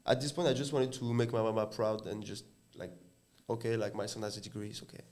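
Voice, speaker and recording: Halting French man, casual chat. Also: lowish voice, darkish tone. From France. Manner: halting, searching for words, many "uh" fillers. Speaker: guest.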